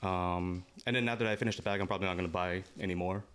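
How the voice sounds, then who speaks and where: A man's voice: sits low, fairly even intonation, very fast, a bit bright, clear, resonant tone. A young man co-hosting a podcast, talking in a laid-back, rambling way and laughing often. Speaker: podcast co-host. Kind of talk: casual chat.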